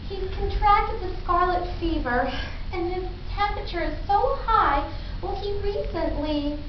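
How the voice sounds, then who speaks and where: A woman playing a polite, grieving mother in amateur readers theatre, reading her lines slowly and deliberately. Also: extremely high pitch, animated. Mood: worried. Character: grieving, polite mother of an aviator, 1880s-1910s. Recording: roomy, distant, muffled, faint noise.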